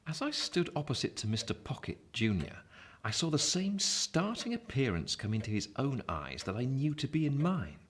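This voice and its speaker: A man playing a wry, gloomy gentleman, narrating a Victorian tale in the first person in measured phrases. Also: mid-range voice, big pitch swings, a little hiss or hum, lightly acted.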